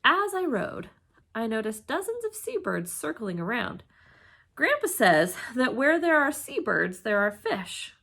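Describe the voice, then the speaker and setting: A woman reading a children's storybook aloud in an expressive, dramatic way, with emphatic exclamations and hushed suspense. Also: a little high, unhurried, vocal fry. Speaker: storybook reader.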